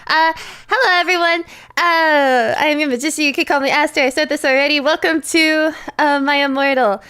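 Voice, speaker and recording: A woman's voice: high voice, steady tone, fairly quick, sharp tone. A woman hosting a casual livestream, chatting to viewers in a playful, giggly, rambling way. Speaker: livestream host and voice actor.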